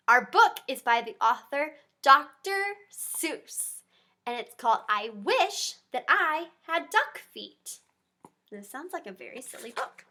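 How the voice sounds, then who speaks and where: A woman reading a picture book to young children, her high voice bright and full of swooping lift. She speaks slowly and chats straight to her small listeners between pages. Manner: animated, talks directly to young listeners. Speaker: pediatric therapist.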